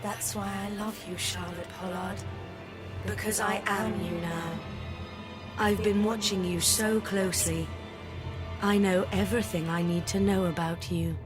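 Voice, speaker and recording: A woman's voice: medium pitch, fairly even intonation, a little slow, music in the background, natural voice. A woman playing a gloating, obsessive identity thief, sweetly mocking and possessive, in an audio drama. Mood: creepy, adoring. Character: unhinged, gloating woman stalker stealing another's identity.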